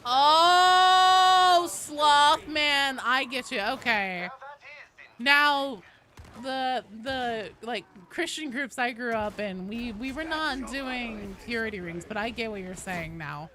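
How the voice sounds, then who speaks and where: A woman's voice: fairly high pitch, slow pace, sharp tone. A woman game streamer chatting casually with viewers, informal and sweary, reading and answering their comments as she goes. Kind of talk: casual chat with viewers while gaming. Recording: faint background noise.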